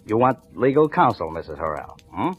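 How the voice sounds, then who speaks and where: An actor playing a polite, slightly condescending lawyer in a 1940s radio drama, formal and measured. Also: male voice, fairly low pitch, very animated, husky. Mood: polite, patronising. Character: polite, brisk, patronising male lawyer in his office. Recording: dull old radio recording with music.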